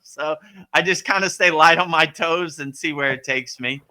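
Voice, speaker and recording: A man hosting a live spiritual question-and-answer session, talking in a relaxed, rambling way with many fillers. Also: a little high, normal speed. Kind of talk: casual spiritual Q&A.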